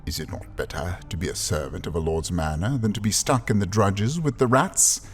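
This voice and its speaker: A man playing a cold, commanding lord in an audio drama, speaking formally and with measured authority. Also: deep voice, natural voice. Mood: lordly command. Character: cold, commanding English-style lord who buys servants. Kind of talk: scripted audio drama acting. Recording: music behind the voice.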